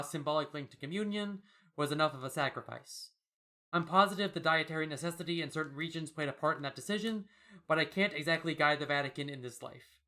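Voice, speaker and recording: A man's voice: a little high, sharp tone. A man hosting a podcast, chatting casually and reading out listener mail in a wry, jokey way. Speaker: podcast host.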